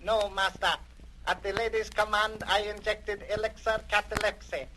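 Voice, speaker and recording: An obedient villain's servant in an old radio serial. His voice is high and flat, formal and deferential as he reports. The recording is clearly noisy. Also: normal speed. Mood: obedient, matter-of-fact. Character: obedient, dutiful male henchman reporting to his master. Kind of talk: 1930s radio drama acting.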